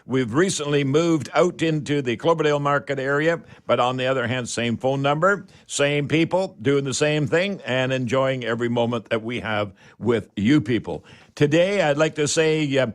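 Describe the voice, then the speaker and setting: A man's voice: mid-range voice, moderate pace. An older Canadian man, sixty or over, hosting a home improvement show in a chatty, folksy, rambling way with catchphrases.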